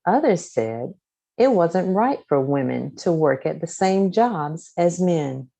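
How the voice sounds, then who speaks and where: A woman reads a children's storybook in a low, mellow voice. She takes her time, phrasing each line deliberately and expressively for young listeners, with plenty of rise and fall in her pitch. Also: lively intonation, gravelly. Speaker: storybook reader. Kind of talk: scripted children's story read-aloud.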